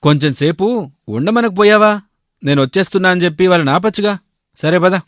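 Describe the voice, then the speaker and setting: A man's voice: sits high, lively intonation, brisk, no room sound, studio quality. A man voicing a fussy, food-loving bachelor shopkeeper in a story, bossy and given to complaining. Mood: chatty.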